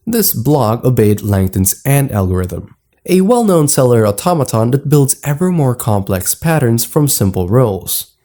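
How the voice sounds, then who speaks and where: A man's voice: medium pitch, mellow. A man reading scripted narration as the narrator of a gaming explainer video.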